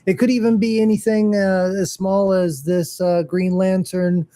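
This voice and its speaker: A man's voice: high voice, little pitch movement, unhurried, dark tone. A man co-hosting a casual talk show, hesitant with frequent fillers. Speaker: co-host. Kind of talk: casual chat.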